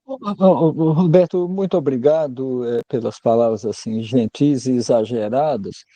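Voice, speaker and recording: A neurologist from Alagoas, Brazil, giving an online lecture over a video call. He talks in a measured, courteous way and uses a lot of fillers. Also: mid-range voice, warm tone, dry recording, rough recording.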